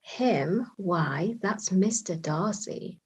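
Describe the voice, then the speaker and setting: An actress playing an observant, gossipy young gentlewoman with a confiding manner in a period staged reading. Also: lowish voice, expressive, drawn-out words, breathy edge, exaggerated voice.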